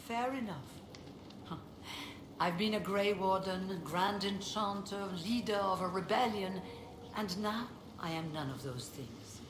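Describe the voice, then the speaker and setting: A woman voicing a weary, dignified mage leader in a video game, speaking in a measured, formal, reflective way. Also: medium pitch, animated, natural voice. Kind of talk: video game dialogue acting. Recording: roomy echo, clearly noisy game capture.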